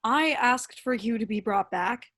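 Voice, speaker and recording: A woman's voice: a little high, a little slow, fairly bright tone. A woman acting an earnest, persuasive, devoted friend in a staged reading of a comic play. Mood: earnest, coaxing.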